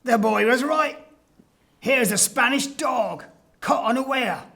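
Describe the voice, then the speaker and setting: A man playing a menacing soldier who hunts fugitives in a period audio drama, taunting and mocking in a deliberate manner. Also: very high-pitched, vocal fry, bright, forceful tone, full character voice. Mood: sneering, gloating.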